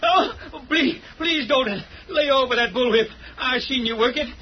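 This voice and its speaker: A man's voice: extremely high pitch, moderate pace. An actor playing a cringing, cowardly outlaw who stammers and whines as he pleads, in an old radio western. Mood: frightened. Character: cowardly, cringing male outlaw begging for his life. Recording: dull, noisy old radio recording.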